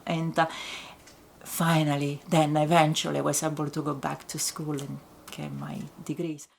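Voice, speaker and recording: A woman whose first language is Italian speaks English with an Italian accent, low and slightly gravelly in tone. She talks haltingly, stopping often to search for words. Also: level tone, a little hiss or hum. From Italy. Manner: halting, full of pauses and fillers. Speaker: writer and teacher. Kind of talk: reflective interview.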